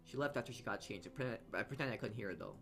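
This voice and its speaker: Male let's player, low register, reads story text aloud, trips and restarts, game music underneath. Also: animated, rapid-fire, a bit bright. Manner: stumbles and corrects himself while reading. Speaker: let's player reading a visual novel aloud. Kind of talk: reading game narration aloud with asides.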